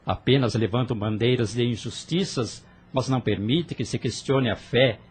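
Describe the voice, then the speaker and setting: A man's voice: medium pitch, moderate pace, slightly rough, close to an everyday voice. A man playing a fervent young rebel. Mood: persuasive. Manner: fervent, persuasive, earnest. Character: earnest young man, idealistic rebel brother. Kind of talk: audio drama acting.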